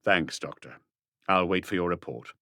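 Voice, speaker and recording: A man voicing an authoritative, deliberate senior detective in an audiobook, calmly giving practical instructions. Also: deep voice, dry recording, clean studio sound. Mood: calm command. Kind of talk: audiobook character dialogue.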